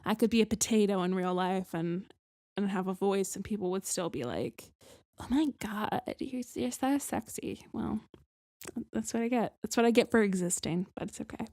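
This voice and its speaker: A woman's voice: mid-range voice, normal speed, darkish tone, no echo, clean studio sound. A woman co-hosting a podcast in casual chat, rambling and self-deprecating with many restarts. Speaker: podcast co-host.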